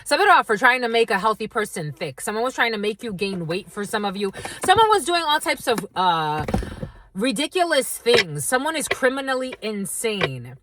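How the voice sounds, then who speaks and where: A woman's voice: fairly high pitch, big pitch swings, moderate pace, noisy background. A woman doing a channeled tarot reading, rambling from one intuitive impression to the next and speaking straight to her viewers. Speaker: tarot reader.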